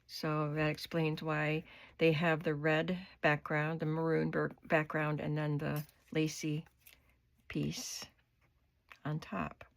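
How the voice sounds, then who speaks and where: An older woman with a cold and a hoarse voice, chatting unhurriedly as she shows her craft supplies in her home studio. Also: low-pitched, completely flat delivery. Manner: chatty, unhurried, describing items up close. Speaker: craft vlogger. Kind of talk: casual show-and-tell haul.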